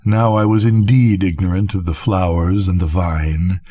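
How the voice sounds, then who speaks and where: An older man reading a gothic literary tale aloud as a storyteller, solemn and theatrical, with a husky voice. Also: sits low, steady tone, dark tone. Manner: solemn, theatrical, measured delivery. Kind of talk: dramatic literary audiobook narration.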